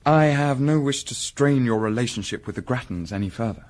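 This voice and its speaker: An actor playing a courteous, guarded Victorian gentleman with a formal English manner and accent in a radio drama. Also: mid-range voice, animated, lightly acted. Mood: courteous, interested. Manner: polite, measured, formal. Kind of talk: period radio drama acting.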